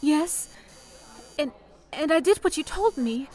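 A woman's voice: sits high, expressive, slow, darkish tone, poor audio. A woman playing a meek, shaken young trainee telephone operator, hesitant and earnest, in an old-time radio play.

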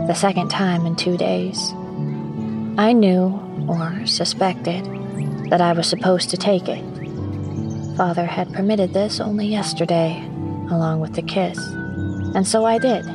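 A prim, low-voiced young diarist, music behind. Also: female voice. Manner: formal, old-fashioned, measured diction. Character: proper, obedient young schoolteacher writing her diary, privately sharp. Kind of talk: dramatic first-person audio horror narration. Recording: studio narration over prominent music.

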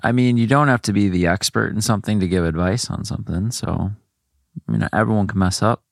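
A man's voice: fairly low pitch, fairly quick, creaky, no room sound. A man co-hosting a casual podcast, giving dry, wry short replies. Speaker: podcast co-host. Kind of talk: casual chat.

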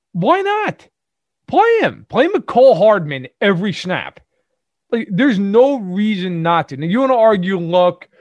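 A man's voice: high voice, dry recording. A man co-hosting a sports podcast, giving emphatic opinions in casual talk full of fillers and restarts. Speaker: podcast co-host. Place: lives in Chicago.

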